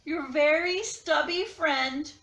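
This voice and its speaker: A woman's voice: high voice, takes it slowly, sharp tone, reverberant, full character voice. A woman voicing a short, stubby, weary blue crayon in a storybook read-aloud. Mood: weary, plaintive.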